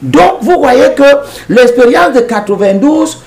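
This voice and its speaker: Politician guy, high voice, lively pitch, lecturing and repeating himself. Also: a little slow, gravelly. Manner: emphatic, repetitive, lecturing. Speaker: politician, guest. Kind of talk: political TV interview.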